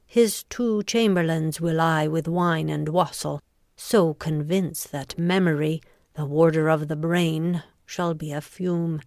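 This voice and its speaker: A woman playing a ruthless, commanding noblewoman in a Shakespearean audio drama, with deliberate, goading delivery of the verse. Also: on the low side, darkish tone, no echo, clean studio sound.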